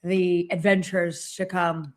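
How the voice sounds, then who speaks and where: A woman's voice: on the low side, level tone, takes it slowly, a little mellow. A woman co-hosting a casual live stream, upbeat and keeping the show on track. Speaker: co-host.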